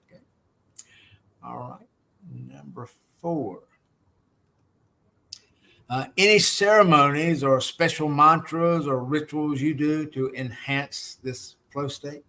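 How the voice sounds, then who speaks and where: A man's voice: fairly high pitch, unhurried, long pauses, pushed and bright. A man with a Southern US accent hosting an interview, encouraging and affirming, often saying "wonderful" and "yes.". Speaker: host. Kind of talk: casual interview chat.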